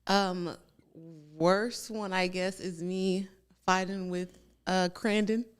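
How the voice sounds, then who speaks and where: A woman's voice: medium pitch, steady tone, slow pace, clean tone. A young woman talking casually and a little hesitantly on a podcast. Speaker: podcast guest.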